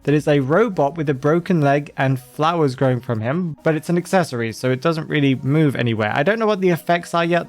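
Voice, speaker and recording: A man hosting a casual weekly game-update vlog, sounding nasal and congested from hay fever, chatty and thinking aloud as he corrects himself. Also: mid-range voice. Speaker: gaming channel host.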